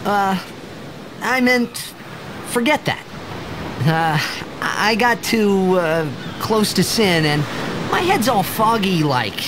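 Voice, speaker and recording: A man's voice: sits high, very animated, slow pace, long pauses, noisy background, heavily acted. An actor playing an earnest, unsure teenage boy athlete, the boyish hero of a video game. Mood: flustered. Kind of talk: video game dialogue and narration. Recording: music behind the voice.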